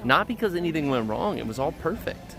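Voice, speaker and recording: A man with a slightly hoarse voice chats casually to viewers and friends as he hosts a live stream. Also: a little high, sing-song, brisk. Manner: chatty, addresses viewers and friends. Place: outdoors at a busy theme park.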